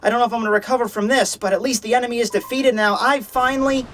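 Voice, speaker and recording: A man's voice: extremely high pitch, fairly even intonation, very fast, a bit bright, a little hiss or hum, voice pushed up. A man voicing an earnest, hapless young female anime fighter, naive and hesitant, in a comedic review. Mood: weary, relieved.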